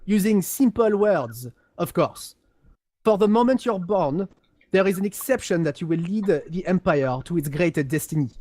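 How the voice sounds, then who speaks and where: A man acts out a haughty, highborn gentleman of a galactic empire, pitched high and recorded close and dry. He is condescending and pompous, picking his words haltingly in a game lore role-play. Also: animated, no room sound.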